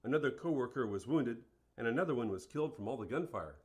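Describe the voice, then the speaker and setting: An actor playing an earnest male fast-food store manager being interviewed in a radio play, plain-spoken and matter-of-fact. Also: mid-range voice, expressive, slightly rough.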